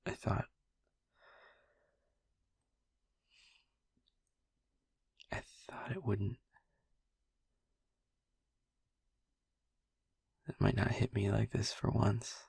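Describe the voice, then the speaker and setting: A man playing a brooding, tender vampire boyfriend in an intimate roleplay audio drama, speaking softly and haltingly in a breathy voice that often nears a whisper. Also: on the low side, level tone, dry recording, heavily acted. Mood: distracted, subdued. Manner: halting, soft, hesitant, with long pauses. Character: brooding, tender male vampire boyfriend, haunted by his turning.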